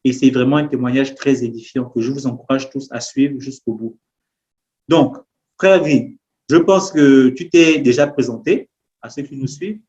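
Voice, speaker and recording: A man's voice: medium pitch, moderate pace, darkish tone, lo-fi sound. A man hosts an interview in a conversational style, explaining things to his guest and viewers. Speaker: host.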